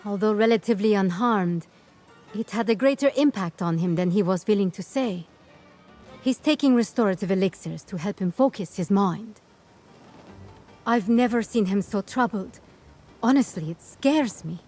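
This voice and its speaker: An actress playing an earnest, caring young scholar in a fantasy game, expressive and articulate. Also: female voice, medium pitch, normal speed, soft background music, close to an everyday voice. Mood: concerned, confiding.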